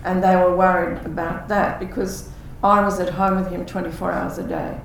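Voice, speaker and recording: A woman's voice: on the low side, flat delivery, normal speed, vocal fry. An older woman, a former carer, talking earnestly and reflectively about looking after her husband, with restarts and quoted dialogue. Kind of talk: reflective conversation. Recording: echoey room, far from the mic, faint noise.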